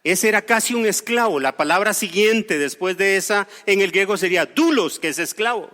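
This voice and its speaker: Male pastor, costa rican accent, high bright voice, sermon, long hall reverb. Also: normal speed. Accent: Costa Rican Spanish. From Costa Rica. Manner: explains scripture, asides and gentle humor. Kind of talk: sermon with storytelling.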